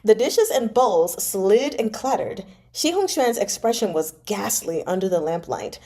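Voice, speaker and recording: Female read-along host: relaxed dark-soft tone, animated intonation, playful and informal. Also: mid-range voice, a little slow. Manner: playful, giggly asides. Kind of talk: novel narration with casual, amused commentary.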